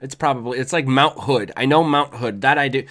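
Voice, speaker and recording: Slightly hoarse man hosting a casual show. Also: medium pitch, brisk, fairly bright tone. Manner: laid-back slangy, rambling, profane. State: hoarse from voice work. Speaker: show host. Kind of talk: casual podcast chat.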